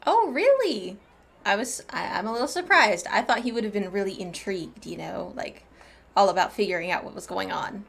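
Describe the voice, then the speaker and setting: A woman's voice: mid-range voice, lively intonation, a little fast, creaky. A woman giving casual, enthusiastic, gushing commentary as she plays through a game. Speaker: game streamer. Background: game music.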